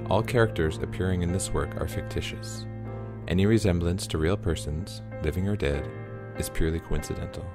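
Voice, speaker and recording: A man reading scripted narration for a radio play. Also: low-pitched.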